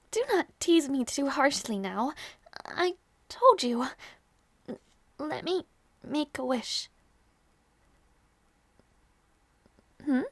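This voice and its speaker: A woman playing a gentle, easily flustered young princess in an intimate audio roleplay, speaking hesitantly with small stammers. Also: high-pitched, no room sound, full character voice. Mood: tender, playful. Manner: hesitant, stammering, soft-hearted.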